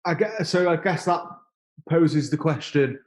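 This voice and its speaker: Halting man in his thirties. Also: a little high, animated. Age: 30s. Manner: halting, repeats words and restarts often. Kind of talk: casual interview conversation.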